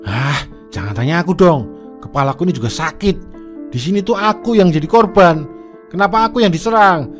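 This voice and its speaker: A man's voice: high voice, expressive, brisk, soft background music. A man voicing a greedy, self-pitying blinded husband in a cartoon drama, talking in a whiny, defensive way. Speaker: Adun. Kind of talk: cartoon voice acting.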